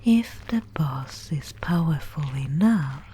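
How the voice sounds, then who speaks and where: A woman performs a mysterious maiden who guides lost souls in a dark fantasy audio roleplay. Her breathy whisper is low and mellow, with a solemn, hushed manner. She speaks extremely slowly, leaving deliberate pauses. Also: animated, exaggerated voice. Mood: ominous, guiding. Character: mysterious female Spindlemaid guide in a gloomy dark fantasy realm.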